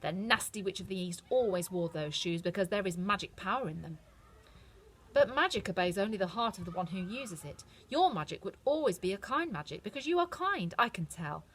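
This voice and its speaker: A woman voicing a kindly, motherly old good witch who speaks in a gentle, reassuring way. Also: mid-range voice, lively intonation, a little fast, breathy edge. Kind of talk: storybook reading.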